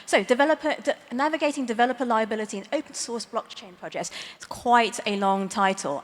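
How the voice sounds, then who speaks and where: A middle-aged woman lawyer speaks from a conference stage in a chatty, self-deprecating way, dropping small laughs and fillers. Her voice sits at an ordinary middle pitch. The sound carries the long reverb of a large hall. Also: a bit bright. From Dutch, Hong Kong, Chinese background; lives in the UK. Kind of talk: conference talk.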